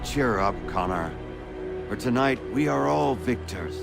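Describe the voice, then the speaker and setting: An actor playing a confident colonial rebel leader in a video game, with a breezy, genial and urbane manner. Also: male voice, mid-range voice, expressive, measured pace, creaky, over music. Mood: cheerful, encouraging.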